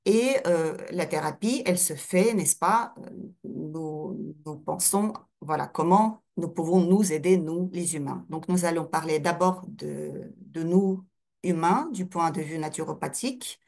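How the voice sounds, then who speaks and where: Slow, gravelly middle-aged woman. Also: low voice, a little mellow. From Russia and Ukraine. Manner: hesitant, searching for words. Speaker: guest speaker, naturopath. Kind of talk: online lecture introduction.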